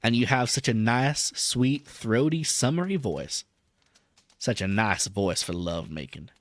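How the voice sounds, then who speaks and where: A man's voice: medium pitch, lively intonation, measured pace, no room sound, voice pushed down, close to an everyday voice. A man reading the part of an admiring, romantic husband in a storybook, tender and wooing. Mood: wooing, hushed.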